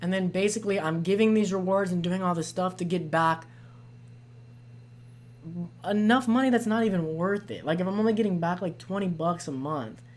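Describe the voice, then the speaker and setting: An American man with a hoarse voice, hosting a casual podcast and chatting loosely while reading out viewer comments. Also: sits high, moderate pace. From United States. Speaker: podcast host.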